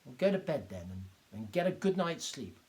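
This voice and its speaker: A man voicing an excitable little village doctor who talks in a high, loud, indignant rush and trips over his words. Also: moderate pace, close to an everyday voice, poor audio. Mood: kindly. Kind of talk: audiobook character voice.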